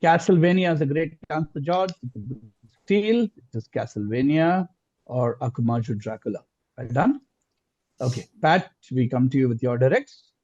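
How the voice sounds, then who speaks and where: A man's voice: mid-range voice, expressive, normal speed, darkish tone. A man working as quizmaster, reading out questions formally in an online quiz, on lo-fi call audio.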